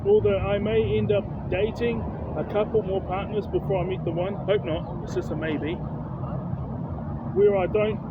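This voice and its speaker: A man's voice: high-pitched, steady tone, normal speed. A man in his late thirties with a New Zealand accent, giving an earnest, personal motivational monologue and often repeating or restarting phrases. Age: 30s. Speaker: self-help vlogger. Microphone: phone held close. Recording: tinny phone audio, loud street traffic. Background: cars and street noise.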